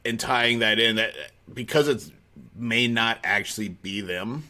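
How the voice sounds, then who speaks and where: Bright-voiced Midwestern man, lively. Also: on the low side, measured pace. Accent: Midwestern American. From South Dakota, USA. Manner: animated storyteller, laughs easily. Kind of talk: casual conversation.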